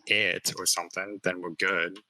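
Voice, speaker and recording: A man's voice: deep voice, level tone, moderate pace, bright, forceful tone, a little hiss or hum, low-quality recording. A man guesting on a casual livestream interview, joking and easygoing. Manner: joking, easygoing, self-deprecating. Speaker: guest artist.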